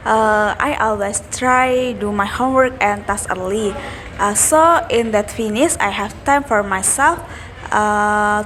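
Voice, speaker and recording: A woman's voice: medium pitch, a bit bright, a little hiss or hum. A young woman in her late teens, a nursing student guest, answering hesitantly with many fillers. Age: young adult.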